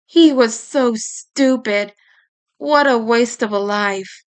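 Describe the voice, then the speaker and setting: A woman's voice: on the high side, slow pace, smooth. A woman reading a story aloud as dramatic audiobook narration. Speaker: audiobook reader.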